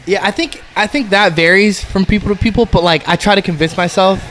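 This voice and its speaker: A man's voice: high-pitched, fairly quick, fairly bright tone. A young man from Arizona whose first language is Spanish, a guest on a casual podcast, speaking in an easygoing, agreeable way full of fillers. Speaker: guest, MMA fighter.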